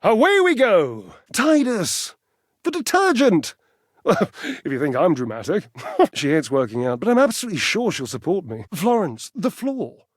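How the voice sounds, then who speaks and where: An actor playing a flamboyant, vain fitness enthusiast in a video game, speaking grandly and melodramatically and handing out teasing pet names. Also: male voice, sits high, big pitch swings, normal speed, no echo, studio quality. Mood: playful, exuberant. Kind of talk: theatrical video game character acting.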